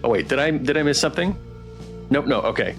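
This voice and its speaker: A man's voice: mid-range voice, animated, quick pace. A man streaming a game, chatting casually with his viewers. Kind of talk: casual game livestream chat. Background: music.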